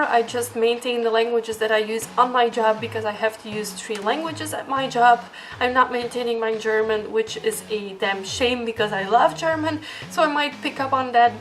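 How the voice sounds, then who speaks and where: High-voiced young woman. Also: a bit bright. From Belgium. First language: Dutch. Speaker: vlogger. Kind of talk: casual question-and-answer vlog to camera.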